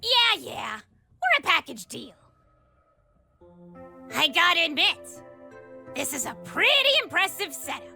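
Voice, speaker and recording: A woman's voice: extremely high pitch, very animated, unhurried, vocal fry, pauses often, bright, forceful tone, soft background music, heavily acted. A woman voicing a brash, cocky young female cartoon troublemaker with a mocking, swaggering manner, in a comic dub. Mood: dismissive, cocky. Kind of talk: animated comic dub acting.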